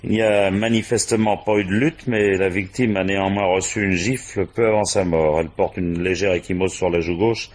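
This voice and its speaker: An actor playing a brusque, authoritative French police boss in a 1950s-style radio mystery, with curt colloquial phrasing. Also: male voice, low voice, fairly even intonation, moderate pace, few pauses. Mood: pondering. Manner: curt, authoritative, colloquial, frequent "bon" and "hein".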